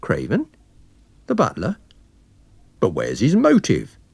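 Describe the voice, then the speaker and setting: A man's voice: mid-range voice, huge pitch swings, vocal fry, darkish tone, slightly rough, dry recording, heavily acted. A man voicing a wary, methodical police inspector with a plain, official manner in a dramatised story reading. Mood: excited realisation. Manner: official, plain-spoken, deliberate.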